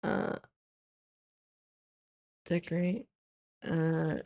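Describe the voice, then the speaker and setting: A woman streamer reading her own fiction aloud, stopping often to fix her wording. Her voice is going hoarse. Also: sits low, huge pitch swings, drawn-out words, dark tone. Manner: stops often to correct her wording, laughs at slips. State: losing her voice.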